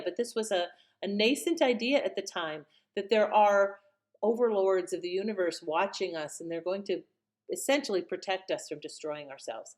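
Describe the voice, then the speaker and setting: A woman hosting informal, explanatory commentary, conversational and thinking aloud as she goes. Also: mid-range voice. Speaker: host commenting on an old radio play.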